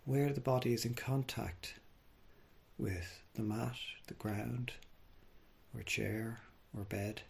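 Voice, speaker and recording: A man leading a guided body scan meditation, speaking gently and without hurry, leaving long pauses. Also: medium pitch, fairly even intonation, gravelly, a little hiss or hum. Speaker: mindfulness meditation teacher.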